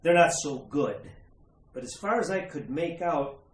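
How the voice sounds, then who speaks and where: A man's voice: a little high, lively intonation, moderate pace, a little hiss or hum, close to an everyday voice. A man playing a polite, puzzled older judge in retro radio drama style, hesitant, stammering and folksy. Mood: uneasy, uncertain. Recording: echoey, roomy recording.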